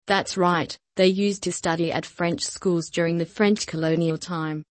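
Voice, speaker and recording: A woman reading short sentences aloud for a repeat-after-me language lesson, slowly and evenly, each one said several times. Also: lowish voice, fairly even intonation, dry recording. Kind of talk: scripted repeat-after-me drill.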